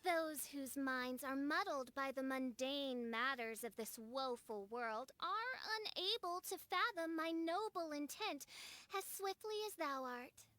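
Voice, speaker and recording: A woman's voice: sits high, animated, a little slow, pushed and bright, no echo, heavily acted. A woman playing a theatrical, gloomy young princess who speaks in florid, pompous, archaic phrases in a video game. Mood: haughty.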